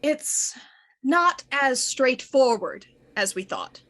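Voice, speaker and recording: Bright-voiced actress as a shrewd lady inspector. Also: sits high, big pitch swings, full character voice. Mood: firm. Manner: deliberate, probing, measured. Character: shrewd, composed female police inspector, grimly businesslike. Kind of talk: scripted radio murder mystery acting.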